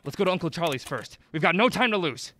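A man's voice: a little high, lively intonation, quick pace, bright, forceful tone, slight background noise. A man playing a cautious, wry amateur sleuth in a mystery audio drama, questioning and dry.

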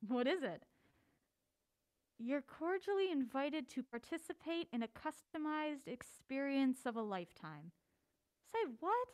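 An anxious, mild-mannered woman in an audio drama, very high in pitch with big swings of intonation. She sounds wary and skeptical, quick to get exasperated. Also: sing-song. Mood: wary, reluctant. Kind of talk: naturalistic audio drama acting.

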